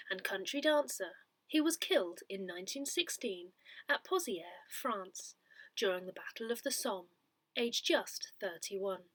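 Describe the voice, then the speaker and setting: A woman reading a scripted newsletter aloud in a measured, expressive way. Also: a little high, no room sound, rough recording. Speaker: newsletter reader.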